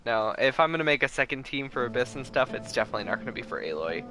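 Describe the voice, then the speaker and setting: A man chats casually while streaming a game. His mid-pitched voice is bright and moves around animatedly. Faint music sits behind him. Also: big pitch swings, rapid-fire. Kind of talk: casual livestream commentary.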